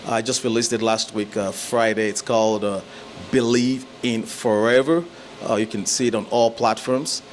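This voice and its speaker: A man's voice: fairly low pitch, moderate pace, a little hiss or hum. Chuckling Nigerian-born male musician guest. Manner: relaxed, chuckling, many fillers and restarts. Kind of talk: casual interview chat.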